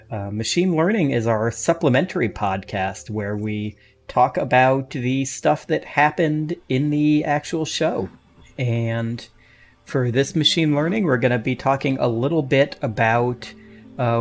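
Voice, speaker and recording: A man with a medium-pitched voice hosts a casual live podcast. He talks in a hesitant, joking manner, like someone chatting with friends. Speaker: podcast host.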